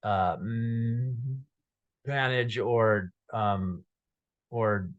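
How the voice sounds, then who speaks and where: A man in his thirties from Minnesota who stutters, telling his personal story to a webcam in a small dark room, with repeated sounds, blocks and many fillers. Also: mid-range voice, very slow, dull audio. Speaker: guest speaker. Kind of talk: personal story told to camera.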